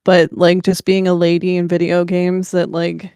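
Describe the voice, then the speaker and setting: A woman's voice: fairly low pitch, monotone, moderate pace, mellow, clear, resonant tone, dry recording. Casual woman chatting, close dry sound. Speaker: podcast co-host. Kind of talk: casual chat about video games.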